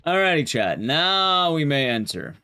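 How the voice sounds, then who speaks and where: A man's voice: fairly high pitch, big pitch swings, measured pace, bright, forceful tone, clear, resonant tone, faint music behind. A man livestreaming a game and chatting casually with viewers, rambling and restarting his sentences as he goes. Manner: rambling, often restarting sentences mid-thought.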